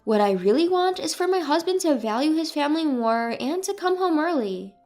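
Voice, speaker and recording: A woman's voice: high-pitched, animated, brisk, a little mellow. A woman reading a scripted first-person dramatic story as its narrator. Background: music.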